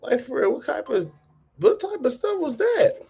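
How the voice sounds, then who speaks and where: A man's voice: extremely high pitch, sing-song, moderate pace, vocal fry, a little mellow, slightly rough. A man hosting a reaction channel, talking loosely in slang and repeating himself as he comments on a clip. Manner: loose, slangy and repetitive, restating points.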